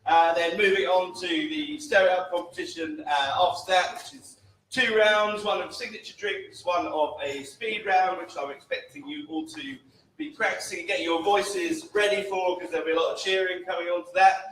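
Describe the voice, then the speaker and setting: A man's voice: sits high, moderate pace, fairly bright tone. A man hosting a live cocktail competition, chatty and jokey, with many filler sounds. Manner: chatty, lots of "uh" fillers, jokey asides to the crowd. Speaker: event host. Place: large indoor event venue. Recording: echoey venue, distant mic, faint noise.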